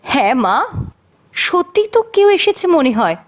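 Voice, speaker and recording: High-pitched, headstrong young village girl. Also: big pitch swings, unhurried. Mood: impatient. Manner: impatient, dramatic, dismissive with her mother. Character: headstrong, vain young village daughter, dazzled by riches. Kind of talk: Bengali fairy-tale cartoon dubbing.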